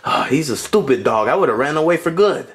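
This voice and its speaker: A man's voice: a little high, lively intonation, fast talker, lightly acted. A man voicing a grumpy, exasperated household cook puppet in a comedy skit, grumbling and exclaiming. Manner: grumbling, easily panicked, exclamatory.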